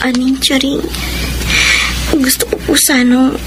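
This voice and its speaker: A Filipina actress playing a meek, distressed young pregnant runaway in a radio drama, speaking respectfully and tearfully. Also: female voice, high voice, level tone, unhurried, background noise. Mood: apologetic, remorseful. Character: distressed, ashamed pregnant young runaway woman, humble and polite. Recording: music bed under the dialogue.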